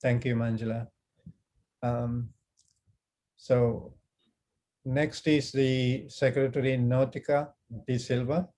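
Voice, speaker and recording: A man's voice: medium pitch, level tone, takes it slowly, pauses often, warm tone. A man with a Sri Lankan accent informally moderating a webinar and introducing board members on a video call.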